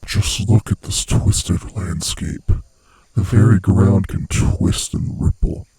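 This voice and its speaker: A very deep, dark-voiced male monster from under the bed , acted by a man, speaking in a slow, heavily breathy rasp. He taunts and savors his prey with playful, sadistic menace, often dropping toward a whisper in a horror ASMR roleplay. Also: dry recording, heavily acted. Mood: pleased, sinister.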